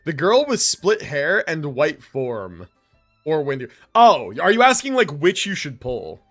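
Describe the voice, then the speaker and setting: A man's voice: fairly high pitch, big pitch swings, unhurried, a bit bright, faint music behind. A man chatting casually and informally as he livestreams a game, rambling in slangy speech. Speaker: live streamer. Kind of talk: casual chat while livestreaming gameplay.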